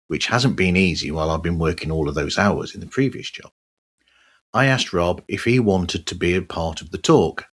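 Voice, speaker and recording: A middle-aged man giving a conversational solo podcast monologue in measured, halting short phrases. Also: sits low, husky. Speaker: podcast host.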